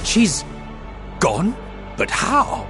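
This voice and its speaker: A man voicing an alert, resolute adventuring companion in a video game, with expressive delivery and formal phrasing. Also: sits high, extremely slow, over music, full character voice. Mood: baffled. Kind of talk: dramatic video game voice acting.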